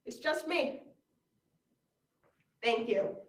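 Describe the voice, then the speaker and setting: A woman playing a self-important female cartoon voice actress in a comic stage monologue, with theatrical swings in pitch and loudness. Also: lively intonation, very slow. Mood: diva. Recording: roomy, from a distant mic.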